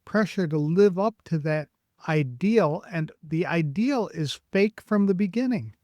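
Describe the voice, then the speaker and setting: A man's voice: sits high, expressive, a little slow, a little mellow, no room sound, muffled recording. A man hosting a podcast interview, asking questions and giving short affirming replies. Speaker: podcast host.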